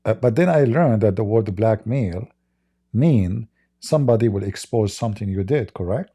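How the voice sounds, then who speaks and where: A man's voice: fairly low pitch, expressive, normal speed, mellow, breathy edge. A man whose first language is not English, hosting a livestream and mocking video clips in broken English, laughing often. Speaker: livestream host.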